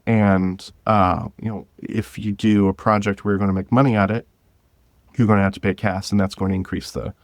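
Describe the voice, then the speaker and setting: A man's voice: lowish voice, flat delivery, brisk, no echo. A man talking casually as an interview guest, thinking aloud and correcting himself as he goes. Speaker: guest, independent audio drama creator.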